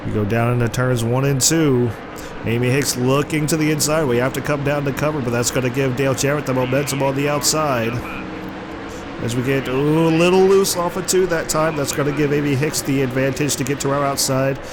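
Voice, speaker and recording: A man's voice: mid-range voice, a little fast. A man with a sore, congested throat from flu, giving play-by-play commentary over his own racing game. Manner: play-by-play, excited when the car slides. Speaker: gameplay commentator. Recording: game car noise behind voice. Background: racing game engine noise.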